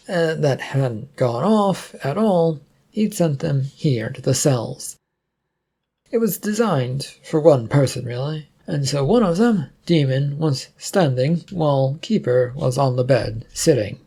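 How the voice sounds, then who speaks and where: Male narrator, dark mellow tone, slightly husky, measured hobbyist story reading. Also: mid-range voice. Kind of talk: reading a self-written adventure story aloud.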